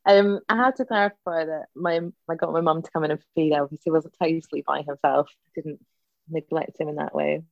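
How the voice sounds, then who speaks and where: A woman's voice: deep voice, fairly even intonation, fairly quick, creaky, no room sound, highs cut off. A woman co-hosting a podcast in casual chat, giggly and chatty. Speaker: podcast co-host.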